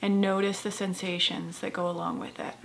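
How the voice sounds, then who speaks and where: A woman therapist leading a guided meditation in a gentle, soft-spoken, unhurried way with long pauses. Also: mid-range voice, level tone, a little hiss or hum.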